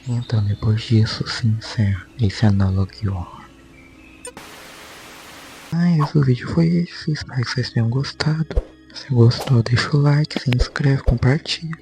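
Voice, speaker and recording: A man's voice: mid-range voice, unhurried, mellow, soft background music, rough recording. A man with a Brazilian accent informally narrating a story recap as a video host, in a conversational way with repetitive phrasing. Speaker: YouTube host.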